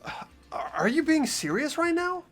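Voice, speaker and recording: Game protagonist voiced by a woman: confused teen student, lively swings in pitch, dramatic inner monologue. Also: measured pace, soft background music. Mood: baffled. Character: bewildered teenage student narrating inner thoughts. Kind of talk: fan-voiced video game acting.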